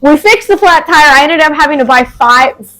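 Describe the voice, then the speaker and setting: A woman's voice: high-pitched, animated, moderate pace, sharp tone, soft background music. A young woman hosting a home DIY vlog, talking casually and rambling with lots of fillers, with a dry, wheezy cough. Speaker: DIY vlogger and host. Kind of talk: casual chat and talk-through of a DIY task. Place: home indoors.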